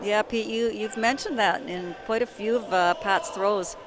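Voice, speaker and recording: High-voiced male curling commentator. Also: steady tone, a little slow, noisy background. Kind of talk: play-by-play commentary.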